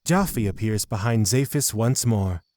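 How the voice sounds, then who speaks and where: A man's voice: on the low side, animated, unhurried, darkish tone, no echo, studio quality. A man narrating a scripted fantasy audio drama.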